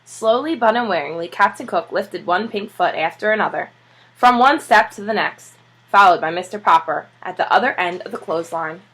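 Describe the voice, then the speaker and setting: Bright, slightly husky woman reading aloud. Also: medium pitch, normal speed, low-quality recording. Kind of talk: expressive children's storybook narration.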